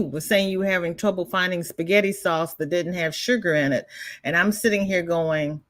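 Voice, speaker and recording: A woman hosting a crochet livestream, chatting casually and rambling, reading out viewer comments, with a congested voice and frequent restarts. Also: on the low side. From American South. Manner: rambling, chatty, self-interrupting with repeated starts.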